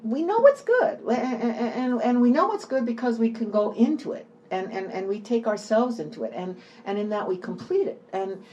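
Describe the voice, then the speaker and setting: An older woman with a fairly high voice that swings widely in pitch. She talks as an author in an unscripted, conversational way, digressing freely and leaning on repeated words for emphasis. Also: lively intonation, normal speed, few pauses, mellow, lo-fi sound, slight background noise. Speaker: author at a book event. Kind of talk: unscripted conversational book talk.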